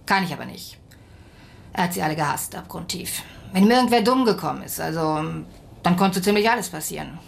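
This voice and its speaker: Low-voiced weary, blunt woman. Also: animated, brisk, gravelly. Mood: weary, bewildered. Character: weary, blunt woman interviewed by a police officer. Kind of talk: naturalistic German radio drama acting.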